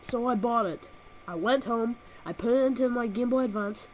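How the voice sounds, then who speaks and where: A woman's voice: a little high, normal speed, slight background noise, low-quality recording. A woman with a cold and a nasal voice, reviewing a video game with sarcastic, exaggerated comic rants, recorded on a built-in laptop microphone. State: has a cold.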